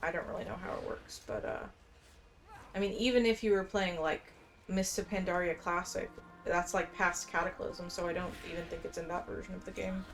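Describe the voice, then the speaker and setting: A woman's voice: lowish voice, steady tone, fairly quick, faint music behind. A woman giving casual, informal gameplay commentary, musing aloud and reading bits of quest text. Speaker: game streamer. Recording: faint background noise and game ambience.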